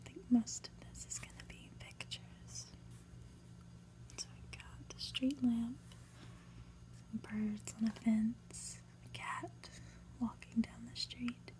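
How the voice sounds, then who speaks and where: A woman hosting ASMR, whispering gently as she reads a book aloud with casual asides. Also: a little high, fairly even intonation, poor audio. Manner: gentle, unhurried, soft-spoken. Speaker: ASMR host.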